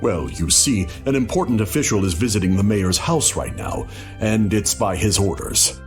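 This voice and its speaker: An actor playing a chipper, obsequious innkeeper in a video game, polite and salesmanlike. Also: male voice, fairly low pitch, moderate pace, faint music behind, close to an everyday voice. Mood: welcoming. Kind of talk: English video game dub acting.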